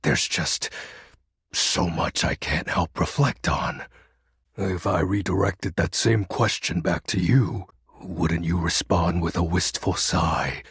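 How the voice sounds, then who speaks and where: A man voicing a melodramatic, pompous dark lord, darkness personified, in a video game, with a raspy, gravelly voice and grandiose archaic diction. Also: deep voice, steady tone, slow pace, dry recording, studio quality, heavily acted. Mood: wistful, brooding. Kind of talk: video game character voice acting.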